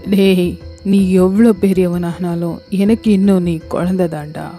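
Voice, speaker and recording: A woman voicing a fond, protective old grandmother who speaks with gentle, slow scolding. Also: lowish voice, fairly even intonation, faint music behind, pitched below the performer's own voice.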